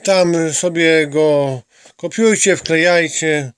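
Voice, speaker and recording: Sex: man. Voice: higher-pitched, dry close sound. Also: slow, fairly bright tone, clear, resonant tone, no echo. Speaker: amateur channel host interviewing a companion. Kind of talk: casual homemade interview chat. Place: small home computer room.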